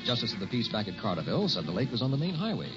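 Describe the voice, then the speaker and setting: An actor playing a confident, teasing newlywed husband, breezy and soothing, in an early sixties radio drama. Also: male voice, medium pitch, very animated, racing, close to an everyday voice. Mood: breezy, reassuring. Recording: dull old radio recording, music behind.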